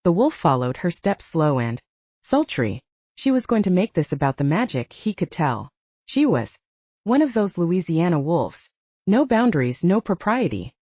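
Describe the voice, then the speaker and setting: A woman's voice: lowish voice, big pitch swings, moderate pace, dark tone, husky, no echo. A woman narrating a paranormal romance audiobook as scripted storytelling, in a slightly dull, dry recording.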